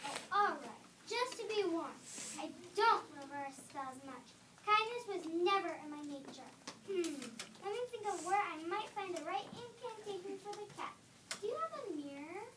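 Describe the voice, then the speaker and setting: A woman's voice: very high-pitched, animated, moderate pace. A young woman playing a haughty, meddling former wicked stepmother for hire in an amateur stage reading, dramatic and self-justifying. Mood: smug. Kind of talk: amateur reader's theater performance. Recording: distant roomy recording with clear background noise.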